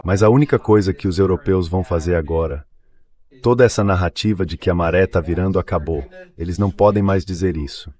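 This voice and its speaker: A deep male AI dubbing voice delivers political commentary. It sits clearly low in pitch, in the register of a discussion programme. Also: a little fast, a little mellow. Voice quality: synthetic AI dubbing voice.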